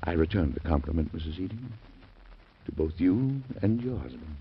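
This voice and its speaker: An actor playing a stubborn, fatherly old U.S. president in a 1940s radio drama, with halting, gentle pauses. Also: low-pitched, lively intonation, breathy edge, exaggerated voice. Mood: tender. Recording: muffled vintage radio broadcast.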